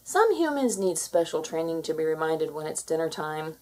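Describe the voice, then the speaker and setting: A woman's voice: sits low, very animated, moderate pace, a little mellow. Woman reading as a confident, theatrical alpha cat teacher. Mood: sly, instructive. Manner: bossy, theatrical instructor. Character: confident alpha cat lecturing kittens on cat life. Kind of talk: children's storybook read-aloud.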